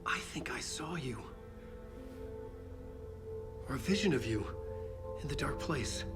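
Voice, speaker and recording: An actor playing a haunted, frantic novelist in a video game, intense and halting in his speech. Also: mid-range voice, lively intonation, loud music behind the voice, lightly acted. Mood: shaken, uncertain. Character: haunted, frantic male novelist escaped from a nightmare dimension. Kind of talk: cinematic video game acting.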